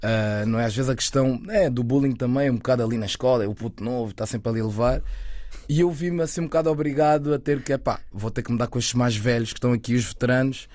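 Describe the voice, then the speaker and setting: A man in his twenties, raised near Lisbon, chatting as an interview guest, relaxed and rambling with fillers and restarts. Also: medium pitch, quick pace, dry recording. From Lisbon area, Portugal. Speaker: guest, actor and content creator. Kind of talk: casual interview talk.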